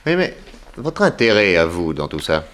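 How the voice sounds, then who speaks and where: An actor playing a cool, shrewd private detective in a 1960s French radio drama, terse and questioning, on a noisy old recording. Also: male voice, low voice, very animated, moderate pace, fairly bright tone. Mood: cool, probing.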